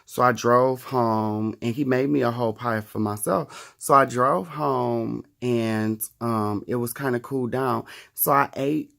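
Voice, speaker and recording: Thirtysomething guy vlogging, kinda gravelly, talks slow, swears a lot and jokes around. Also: mid-range voice, a bit bright. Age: 30s. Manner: chatty, profane and wry, with laughs. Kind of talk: casual chat to camera.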